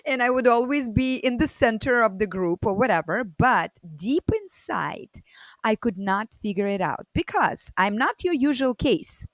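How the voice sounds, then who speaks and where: A woman from the former Soviet Union, telling her personal story as a guest in a loud, energetic and expressive way. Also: fairly high pitch, moderate pace, no room sound, poor audio.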